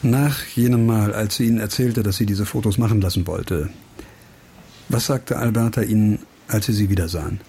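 An actor playing a wry, impatient investigator in a radio play, with a dry, probing manner. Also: male voice, on the low side, steady tone, normal speed, warm tone, a little hiss or hum, lightly acted. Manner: dry, probing, hesitant asides. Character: wry, impatient male investigator questioning a witness.

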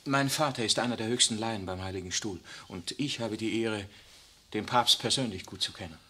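An earnest, husky Jesuit envoy. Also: male voice, fairly low pitch, a little fast. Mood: reassuring. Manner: calm, earnest, reassuring. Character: earnest young Jesuit priest, Vatican envoy. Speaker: radio drama actor. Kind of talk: 1960s radio play acting.

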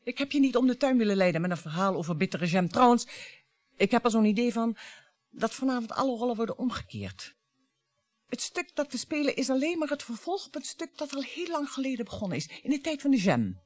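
A woman's voice: on the high side, big pitch swings, brisk, no room sound. An actress playing a bitter, mocking wife in her fifties in a radio play, with a theatrical way of talking. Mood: wry, confiding. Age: 50s. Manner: theatrical, mocking, swinging between coaxing and scorn. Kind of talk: radio drama acting.

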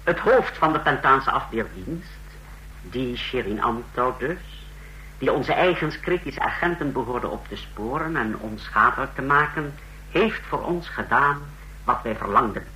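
A very sharp, forceful male secret-service officer in a radio drama. He briefs formally and with self-assurance, with menace underneath. A faint hum sits on the old recording. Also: mid-range voice, animated, measured pace, poor audio. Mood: self-assured briefing. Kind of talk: Dutch radio play acting.

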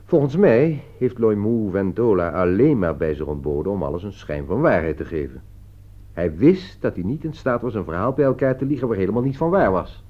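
A man's voice: lowish voice, sing-song, brisk. A man acting in a Dutch radio play as a shrewd, composed author who reasons like a detective, with pointed questions. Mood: confident, explaining. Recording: dull lo-fi radio play recording.

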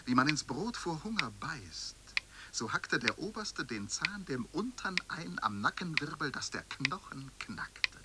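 Grim verse reciter, animated pitch swings, declamatory, murmurs to cries, radio play, very noisy clicking recording. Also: male voice. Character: grim, theatrical male reciter of infernal verse. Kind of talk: avant-garde radio drama recitation.

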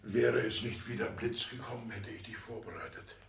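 An actor in a 1960s German radio drama playing a blunt, stolid, unsentimental old father with a gravelly voice. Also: mid-range voice, fairly even intonation, normal speed, lightly acted. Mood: stolid, matter-of-fact. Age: older. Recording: roomy, distant, noisy old radio recording.

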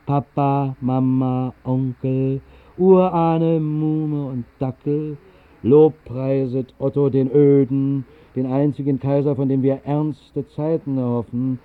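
A man voicing stern, authoritarian marching citizens, declaiming solemn slogans in short stressed phrases for a radio play. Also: mid-range voice. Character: marching chorus of stern authoritarian citizens praising their emperor. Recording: muffled, narrow-band 1960s radio recording.

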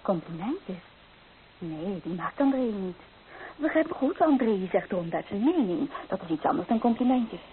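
Hissy radio play, actress as earnest young bride-to-be. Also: female voice, medium pitch, animated, moderate pace, lightly acted. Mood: gently reproving. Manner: earnest, gently reproving. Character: earnest, proper young bride-to-be, loyal and gently reproving. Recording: tinny, hissy old radio recording.

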